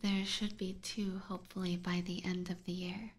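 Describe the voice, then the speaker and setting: A woman chatting softly in a cutesy, giggly manner, often near a whisper, close to a binaural microphone as an ASMR streamer. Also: on the low side, level tone, normal speed. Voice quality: soft, breathy. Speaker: ASMR streamer and VTuber host. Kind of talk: soft ASMR livestream chat, partly whispered.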